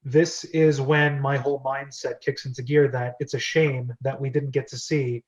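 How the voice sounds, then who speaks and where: A man's voice: mid-range voice, little pitch movement, moderate pace. A man hosting a casual group discussion, chatty and explanatory as he talks, on a lo-fi recording. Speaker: host of a script reading club. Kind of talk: casual group discussion with read-aloud script.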